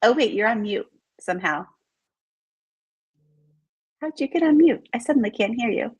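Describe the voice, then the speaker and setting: A woman hosting a live online interview in a chatty way, full of "like" and "um", her voice a little raspy from talking all morning. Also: on the high side, expressive, moderate pace, dry recording, highs cut off. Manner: chatty, filler-heavy, says "like" often. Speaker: host of live interview series. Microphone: video call.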